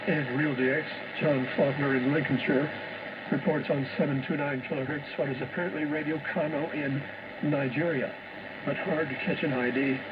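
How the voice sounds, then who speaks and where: A man hosting a shortwave radio programme, reading a scripted news bulletin in a deliberate way. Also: a little high, steady tone. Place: heard over a shortwave receiver. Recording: noisy muffled shortwave radio reception with static.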